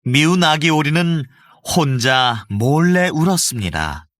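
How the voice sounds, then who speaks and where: A man narrating a children's storybook with an expressive storytelling lilt. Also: a little high, a little slow, no room sound.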